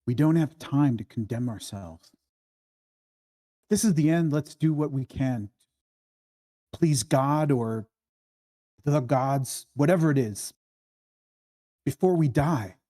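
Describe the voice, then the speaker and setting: A man's voice: medium pitch, slow pace, pauses often, mellow. A man playing a desperate, resigned man facing the end in an amateur screenplay table read. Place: online meeting, own room.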